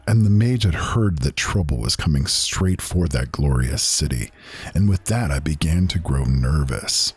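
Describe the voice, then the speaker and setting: A man's voice: deep voice, a little mellow, slightly rough, voice pushed down, heavily acted. A man voicing a proud, earnest mage who recounts his past in solemn, archaic storybook phrasing. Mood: worried. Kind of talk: dramatic fantasy story narration.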